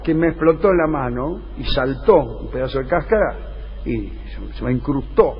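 A man's voice: a little high, lively intonation, moderate pace. Mock-serious Argentine man on muffled, noisy radio. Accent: Argentine Rioplatense. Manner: mock-serious, absurd storytelling. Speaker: radio comedy show host. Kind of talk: improvised comic radio dialogue. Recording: dull, noisy radio recording.

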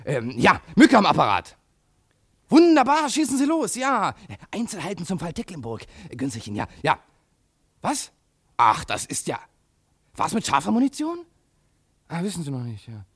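An actor playing an excitable, bossy local newspaper editor in a German radio play, blustering and impatient, often stopping and starting as he thinks aloud. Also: sits high, normal speed, long pauses, husky, heavily acted. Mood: eager, excited.

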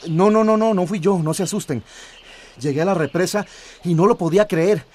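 Impassioned activist, radio drama; high male voice, insistent delivery. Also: brisk, a little mellow. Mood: excited, relieved.

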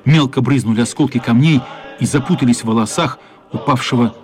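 Male narrator, mid-pitched, dramatic children's radio play storytelling, faint music underneath. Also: fairly quick.

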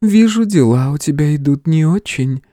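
A man voicing a gentle, coolly condescending goddess of mercy with a tender, measured female voice in an audiobook. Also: a little high, expressive, no room sound, clean studio sound, pitched above the performer's own voice, lightly acted.

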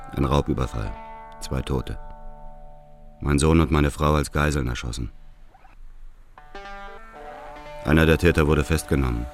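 Bitter widowed man, really low voice, radio drama monologue, terse and bleak, music behind. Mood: bleak, grieving. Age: middle-aged. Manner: somber, terse, short clipped sentences. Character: embittered widowed middle-aged man narrating his own tragedy. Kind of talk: first-person radio drama narration.

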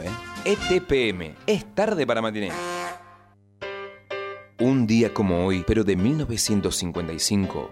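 Man, low booming announcer voice, mock-grave radio promo read. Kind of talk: scripted station promo.

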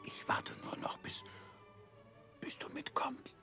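An actor playing a drunken, befuddled young gentleman with halting, slurred speech in a 1960s radio drama. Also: in a whisper, over music, lightly acted. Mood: drunk, confused.

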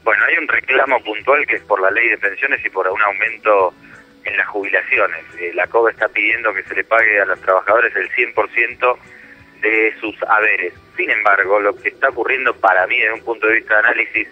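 A man reporting by phone as a correspondent, giving measured analysis with frequent fillers. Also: lowish voice, steady tone, soft background music. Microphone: telephone. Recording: dull lo-fi phone line.

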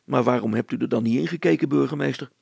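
A man voicing a blunt, curious handyman in an audiobook, with a gravelly voice and a questioning manner. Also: mid-range voice, fast, darkish tone, dry recording, pitched below the performer's own voice. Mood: puzzled, obliging. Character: plain-spoken, curious handyman, eager male helper. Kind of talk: acted audiobook dialogue.

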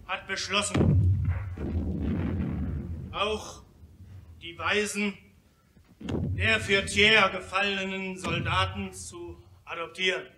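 An actor playing a fiery Commune delegate in a German radio play, declaiming emphatically in an echoing room. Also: male voice, high voice, distant mic, background noise. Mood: solemn. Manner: declamatory, emphatic, shouting outbursts. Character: fiery Commune delegate, impassioned revolutionary orator.

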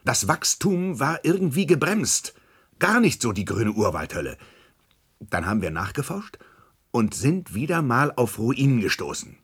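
An actor in a German radio play, playing a boastful, scheming animal park director, effusive and emphatic, with hesitations and repeated words. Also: male voice, mid-range voice, sing-song, unhurried, husky. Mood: matter-of-fact, exasperated.

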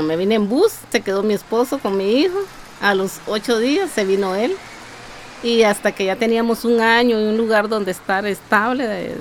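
A woman's voice: medium pitch, slight background noise. A middle-aged woman with a Nicaraguan accent giving an informal personal account in an interview. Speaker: migrant interviewee. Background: music.

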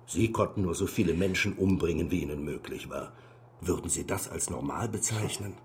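A man's voice: low-pitched, fairly quick, slight background noise, natural voice. An actor playing an exasperated male interrogator in a radio drama, questioning in a pointed, confrontational way. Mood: pressing, exasperated.

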